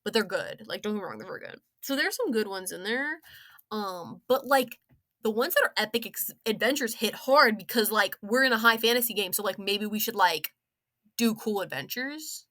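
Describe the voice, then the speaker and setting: A young woman rambling casually through an opinionated game story review, slangy and sarcastic, with animated, exaggerated emphasis. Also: medium pitch, moderate pace.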